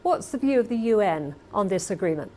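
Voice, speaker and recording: A woman's voice: mid-range voice, animated, a little fast, darkish tone. A woman news anchor putting a question in broadcast style.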